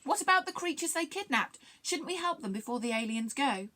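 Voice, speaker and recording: A woman's voice: fairly high pitch, animated, brisk, a bit bright. A woman voicing an eager, naive, chirpy storybook creature in a children's tale. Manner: chirpy, exclamatory.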